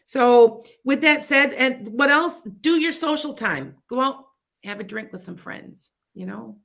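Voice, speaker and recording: Bright-voiced chatty woman with a Chicago accent. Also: high voice, animated, unhurried, creaky. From Wisconsin near the Illinois border. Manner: rambling and chatty, with frequent restarts and asides. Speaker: psychic radio show host. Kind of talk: casual advice talk on internet radio.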